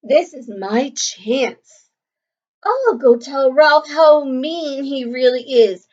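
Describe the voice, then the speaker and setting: A woman's voice: sits high, lively intonation, slow pace, a little mellow, highs cut off. Woman voicing an earnest, hurt young schoolgirl. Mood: determined.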